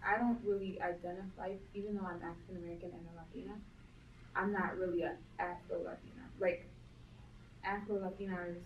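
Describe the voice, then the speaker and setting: A young woman talking casually in quick bursts, often using "like". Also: lowish voice, a little mellow, muffled recording. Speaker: guest. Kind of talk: casual conversation. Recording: roomy, distant with faint noise.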